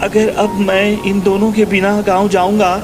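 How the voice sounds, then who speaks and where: A man's voice: high-pitched, flat delivery, moderate pace, music in the background, close to an everyday voice. A man playing a frightened, pious villager in a children's cartoon story, with a worried, earnest way of talking. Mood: lamenting.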